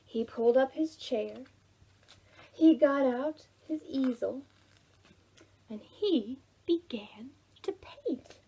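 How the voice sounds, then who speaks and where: A woman hosting a preschool storytime, telling stories to young children in an expressive way, with drawn-out words and soft, hushed phrases. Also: sits high, breathy edge, low-quality recording. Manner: addresses children directly with simple questions.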